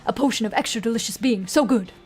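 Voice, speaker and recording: A woman's voice: on the high side, quick pace, husky, soft background music. A woman voicing a greedy goblin guard from a game, demanding and speaking in broken, childlike phrases. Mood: eager.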